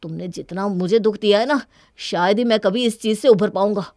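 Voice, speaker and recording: A woman's voice: medium pitch, fairly quick, darkish tone, dry recording, clean studio sound, close to an everyday voice. A woman voicing a hurt, guarded young husband in a cartoon story, with an earnest, plaintive manner. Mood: angry, hurt.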